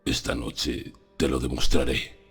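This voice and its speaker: A man's voice: rumbling low, steady tone, measured pace, gravelly, a bit bright, breathy edge, heavily acted. A man playing a solemn, persuasive older professor in a dramatized audiobook. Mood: pained, solemn. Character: solemn, persuasive older male professor confiding terrible truths. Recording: music under the voice.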